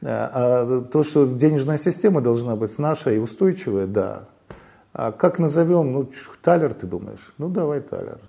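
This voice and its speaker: A man from Belarus, talking haltingly as an interview guest, with many fillers and restarts. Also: mid-range voice, expressive, vocal fry, warm tone. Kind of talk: casual interview talk.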